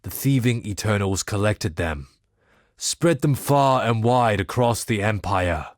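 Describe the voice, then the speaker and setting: A male actor playing a solemn, sardonic mystic in a video game, speaking in measured, wry, theatrical phrases that drop into hushed tones. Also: fairly low pitch, expressive, dry recording, clean studio sound. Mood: solemn, cryptic. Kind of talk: scripted game character dialogue.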